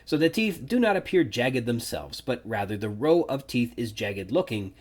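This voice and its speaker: A man's voice: mid-range voice, sing-song, normal speed. A man hosting a solo podcast, musing thoughtfully over a novel and picking apart details. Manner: thoughtful, musing, nitpicky asides. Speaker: podcast host. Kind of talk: solo book-discussion podcast.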